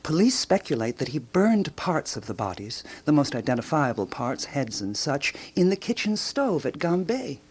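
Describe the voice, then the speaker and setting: Radio drama: ruminative female amateur sleuth, theorizing aloud, very animated intonation, deliberate dramatic pauses. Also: low-pitched, breathy edge, heavily acted. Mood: intrigued, brooding.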